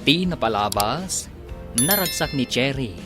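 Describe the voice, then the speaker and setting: A man narrating as a radio drama announcer, in a scripted, emphatic, drawn-out dramatic delivery. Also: mid-range voice, expressive. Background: music.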